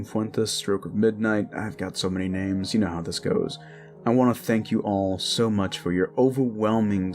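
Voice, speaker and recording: A man with a fairly low voice talks casually to his audience as an audio channel host. Faint music plays behind his informal outro chat. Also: a little mellow. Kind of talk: casual outro addressed to listeners.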